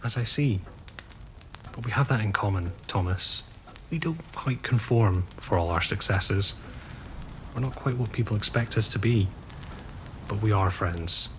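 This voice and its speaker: Deep-voiced, weary ex-officer. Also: male voice, fairly bright tone, a little hiss or hum, low-quality recording. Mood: earnest, wistful. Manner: measured, grave. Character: weary, disillusioned Scottish former army officer and gentleman. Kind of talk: audio drama acting, period dialogue.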